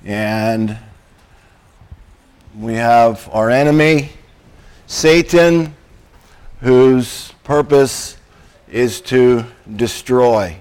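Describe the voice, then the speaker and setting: Man, preacher, sermon, hoarse from a cold, croaky, slow, halting, throat clearing. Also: mid-range voice, darkish tone.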